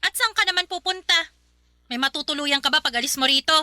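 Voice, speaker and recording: A woman's voice: high-pitched, fast, bright, forceful tone, dry recording, studio quality. A woman voicing a stern, sarcastic older sister in a radio drama, scolding her younger brother. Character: stern, sharp-tongued older sister in her late twenties.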